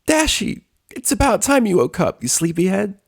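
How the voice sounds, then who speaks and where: A man voicing an excitable, squealing young female pony in an audiobook story, bubbly but close to tears. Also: high-pitched, normal speed, gravelly, pitched above the performer's own voice, exaggerated voice. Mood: cheery, distraught.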